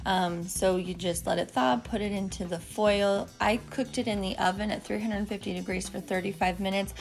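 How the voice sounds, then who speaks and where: A woman who hosts a cooking vlog, talking through recipes in a relaxed, chatty voice-over with the odd um. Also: medium pitch, normal speed, gravelly, soft background music. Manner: chatty, relaxed step-by-step instructions with ums. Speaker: cooking vlogger. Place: home kitchen.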